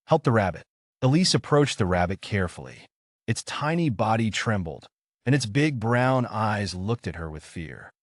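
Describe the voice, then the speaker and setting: A man narrating a scripted bedtime story as a storyteller, in an expressive, theatrical manner. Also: lowish voice, normal speed, no room sound.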